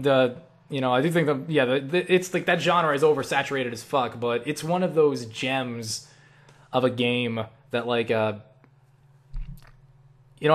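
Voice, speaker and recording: A young man chatting casually and thinking aloud as he answers viewer questions, rambling and opinionated, with frequent swearing. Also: medium pitch. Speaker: online video creator answering viewer questions. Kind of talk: casual rambling Q&A chat about video games.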